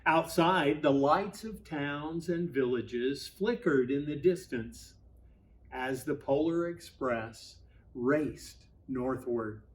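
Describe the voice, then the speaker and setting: A man narrates a children's story very slowly, in a slightly higher male register. His phrasing is measured and expressive, with dramatic pauses. The mic sits a little way from him. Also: a little hiss or hum. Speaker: pastor reading a Christmas story aloud. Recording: voice at a distance from mic.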